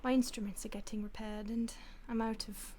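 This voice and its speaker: A woman voicing a polite, predatory female vampire who toys with her captive in soft, often whispered, mock-polite speech. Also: a little high, flat delivery, brisk, a little hiss or hum, lightly acted. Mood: menacing relish. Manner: teasing, mock-polite, savoring. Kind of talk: audio roleplay.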